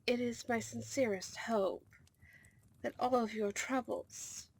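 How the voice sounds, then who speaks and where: A woman's voice: a little high, steady tone, takes it slowly, husky, exaggerated voice. A woman playing a formal, deferential and protective servant to a princess in a scripted fantasy ASMR roleplay. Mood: formal, loyal. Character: dutiful, protective female servant of a vampire princess.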